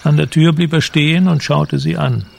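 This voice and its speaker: A man narrating a literary radio play in a measured, solemn manner. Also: fairly high pitch, fairly even intonation, vocal fry.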